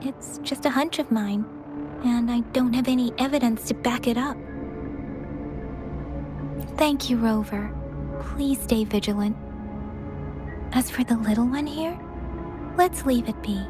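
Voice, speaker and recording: An actress playing a gentle, perceptive young female scout in a video game, speaking earnestly and softly. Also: a little high, unhurried, pauses often, close to an everyday voice. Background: background music.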